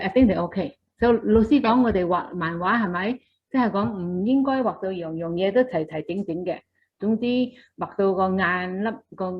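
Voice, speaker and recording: A woman with a fairly low voice acts as host and interpreter for an online class. She speaks briskly and in a friendly way. The call audio is a little muffled. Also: husky.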